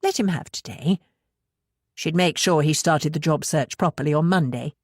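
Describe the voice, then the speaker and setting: A woman reading an audiobook as dramatic fiction narration, with expressive, measured storytelling. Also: low-pitched, no room sound, studio quality.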